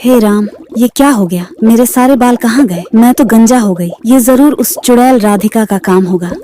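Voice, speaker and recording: Woman acting a nagging mother-in-law, mellow high-pitched voice. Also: normal speed, slight background noise, natural voice. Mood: shocked, angry. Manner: scolding, complaining, commanding. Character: nagging middle-aged Indian mother-in-law, quick to scold. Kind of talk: Hindi moral-story cartoon acting.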